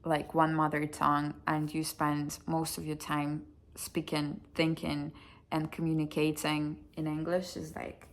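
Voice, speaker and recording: A woman's voice: low voice, monotone, unhurried, slight background noise. A young woman with a Russian accent, speaking English as a second language in a blunt, chatty vlog, swearing freely and poking fun at herself. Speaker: vlogger. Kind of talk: casual chat to camera.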